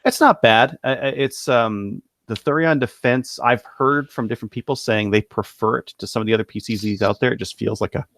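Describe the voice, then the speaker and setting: A man co-hosting a podcast, casually running through products in a halting, thinking-aloud way. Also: medium pitch, a bit bright, dry recording. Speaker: podcast co-host presenting gun deals.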